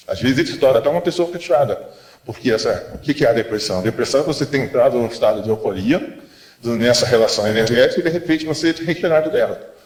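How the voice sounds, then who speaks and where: A man's voice: medium pitch, a little mellow. Brazilian man lecturing in an echoey room. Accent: Brazilian Portuguese. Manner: rambling, restarts and asides, rhetorical questions. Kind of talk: unscripted explanatory lecture.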